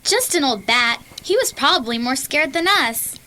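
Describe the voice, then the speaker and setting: Bold, curious girl for kids' horror tape: high, animated, exclamatory. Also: moderate pace. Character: bold, curious young girl exploring a haunted mansion. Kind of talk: children's audio horror drama acting.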